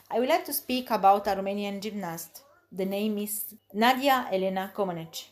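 A woman who is a language student, reading a short prepared talk carefully and stumbling now and then. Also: mid-range voice. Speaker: ESOL student.

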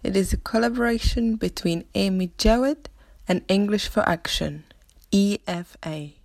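Female teacher-host, measured presenting, a little gravelly, dry studio. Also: medium pitch, a little mellow, no room sound. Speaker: ESOL teacher and podcast host. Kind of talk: introducing a podcast.

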